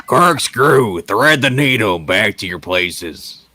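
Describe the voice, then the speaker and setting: A man's voice: lowish voice, lively intonation, takes it slowly, bright, forceful tone, no room sound, full character voice. A man voicing a jolly old master calling out a dance in a theatrical play reading. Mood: jolly, hearty.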